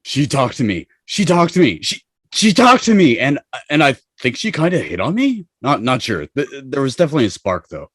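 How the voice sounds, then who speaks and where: A man acts a smitten ghost in a fluster for a sitcom read-through. His husky voice leaps wildly up and down as he stammers excitedly. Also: mid-range voice, fairly quick. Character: panicky, smitten male ghost.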